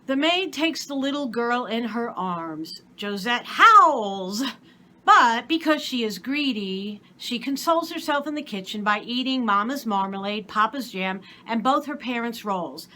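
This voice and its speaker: A woman reading a story aloud in expressive, scripted storybook narration. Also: a little high, a little slow. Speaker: story reader.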